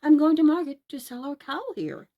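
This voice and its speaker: A woman's voice: high-pitched, brisk, phone-quality sound, pitched above the performer's own voice, close to an everyday voice. A woman voicing a cheeky, eager, naive young boy in a children's storybook, in a cocky, chirpy manner. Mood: cheerful.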